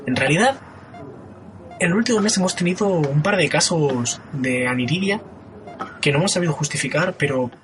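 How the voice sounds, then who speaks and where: A man's voice: fairly high pitch, animated, a bit bright, soft background music. A man playing a formal doctor. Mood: clinical. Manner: formal, clinical, measured. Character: calm male intensive care doctor, formal. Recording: noisy recording.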